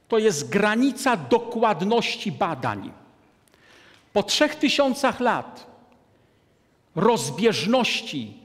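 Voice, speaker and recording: A man in his sixties giving a deliberate, emphatic lecture to an audience from a lectern in a large hall. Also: high-pitched, a bit bright. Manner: deliberate, emphatic, gestures at a map. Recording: long hall reverb.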